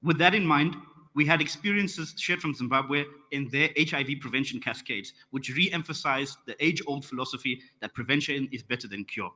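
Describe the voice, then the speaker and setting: Bright-voiced South African man in a hall. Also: medium pitch, moderate pace. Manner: friendly, lightly joking, calls slides. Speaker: conference track rapporteur. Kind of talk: conference presentation. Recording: reverberant conference hall.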